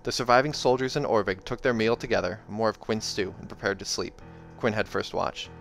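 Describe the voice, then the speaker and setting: Low-voiced man reading and reacting. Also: steady tone, fairly quick, vocal fry, a bit bright. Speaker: reader and host. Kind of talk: fantasy novel narration with casual reactions. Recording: music behind the voice.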